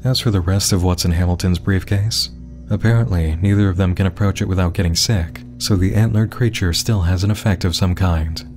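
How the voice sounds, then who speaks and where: Low-pitched man narrating over music. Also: a little fast, a little mellow. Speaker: narrator and host of a web series explainer. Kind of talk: scripted narration.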